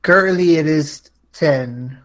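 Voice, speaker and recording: A man's voice: on the high side, takes it slowly. A man answering questions as a guest on a casual show, easygoing and a little hesitant. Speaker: show guest who hosts a songwriters' talk show. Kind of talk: casual interview chat.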